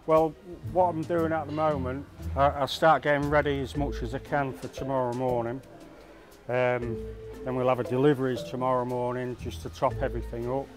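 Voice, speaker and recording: A man talks casually about his work as a market stallholder. He restarts phrases as he explains. His voice sits at an ordinary pitch, and music plays behind him. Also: little pitch movement, moderate pace. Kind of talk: casual interview talk. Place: busy indoor market stall.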